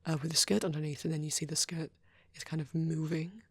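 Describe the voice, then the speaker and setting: A woman voicing a shy vampire boy who rambles gently in an ASMR roleplay, soft-spoken and hesitant, slipping into breathy whispers. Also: low voice, fairly even intonation, a little fast, no echo. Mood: shy, musing.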